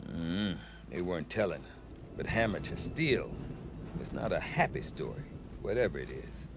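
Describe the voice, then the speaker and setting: Wily, sentimental male merchant for a fantasy game: lowish pitch, very expressive, wry self-justifier. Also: moderate pace, background noise. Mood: wry, grumbling. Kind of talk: video game cutscene acting.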